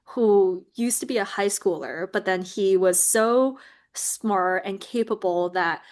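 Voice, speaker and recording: A woman from Taiwan, a guest on a webinar, telling a story in a relaxed way with lots of "like" over a video call. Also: mid-range voice, takes it slowly. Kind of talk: casual conversation.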